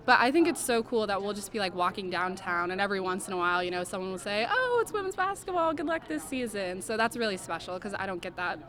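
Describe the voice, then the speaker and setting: A woman's voice: mid-range voice, big pitch swings, quick pace, few pauses, fairly bright tone. A young woman, a college basketball player, answering reporters' questions in an upbeat, chatty way, with fillers like "I mean" and "like". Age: young adult. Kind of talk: media interview answers.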